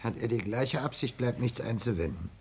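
Ein Mann spielt in einem Hörspiel der sechziger Jahre einen kränklichen, empfindlichen, pessimistischen Friseurgehilfen, der gekränkt und abwehrend spricht. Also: male voice, medium pitch, moderate pace, breathy edge. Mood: sullen. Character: sickly, touchy, pessimistic barber's assistant. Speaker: radio play actor. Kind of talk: 1960s German radio play acting.

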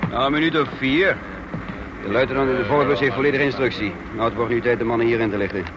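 A man acting in a radio drama as a brisk, authoritative army officer giving clipped orders. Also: mid-range voice, highs cut off, noisy background, lightly acted. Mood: brisk, commanding. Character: brisk commanding male military officer leading a raid.

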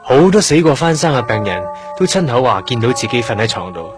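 A man with a Hong Kong accent playing an earnest, curious young trainee doctor in a radio drama, explaining things in a measured way. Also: medium pitch, big pitch swings, music in the background, lightly acted. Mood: earnest, explanatory. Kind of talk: Cantonese radio drama acting, explanatory dialogue.

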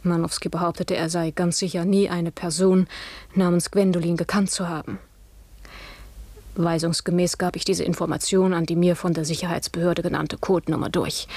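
An actress playing a composed female doctor, giving formal, measured, clinical testimony in a radio drama. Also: fairly low pitch, a little mellow, no room sound.

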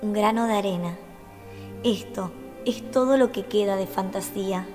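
A woman playing a gentle, solemn young empress of a fantasy realm in a radio drama. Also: medium pitch, normal speed, faint music behind, lightly acted. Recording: long hall reverb on studio recording.

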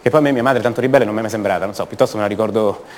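An actor playing a passionate, rebellious young poet from a rich family in a radio theatre monologue, confiding and halting, repeating his words. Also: fairly low pitch, a little hiss or hum, close to an everyday voice. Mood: frustrated, confiding.

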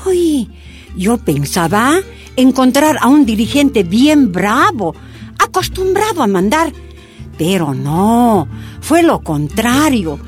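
An actress playing a plainspoken miner's wife who recounts her life in a radio drama, with a Bolivian accent and expressive delivery. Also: a little high, takes it slowly, faint music behind. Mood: admiring. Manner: animated storytelling. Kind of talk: first-person radio drama narration.

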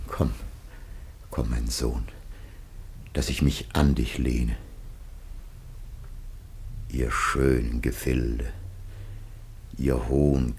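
A man's voice: darkish tone, full character voice. An actor playing a dying old patriarch and father in a classic German radio drama, declaiming solemnly and weightily. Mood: resigned. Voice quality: very deep, husky.

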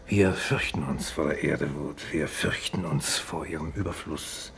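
An actor in a 1950s German radio play, playing a resigned, unbending exiled doctor who speaks in measured, grave declarations. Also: male voice, a bass voice, vocal fry, a bit bright, full character voice. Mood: solemn conviction. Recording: faintly noisy 1950s radio recording.

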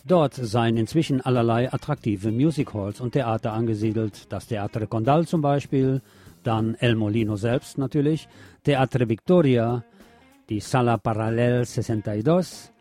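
A man's voice: mid-range voice, normal speed, mellow, soft background music. A man presenting scripted local news on the radio, reading as a narrator of reports.

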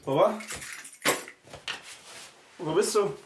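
A man's voice: high voice, extremely slow. An actor playing a worried grown son looking after his father in a naturalistic radio drama. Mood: searching, worried. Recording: echoey, distant, noisy room.

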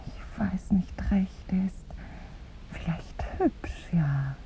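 A woman's voice: fairly low pitch, takes it slowly, mellow. An actress playing a tender, lovestruck young woman in a 1950s German radio play, with wistful, lyrical phrasing that often sinks to a whisper. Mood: wistful, hushed. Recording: muffled, phone-like 1950s radio recording.

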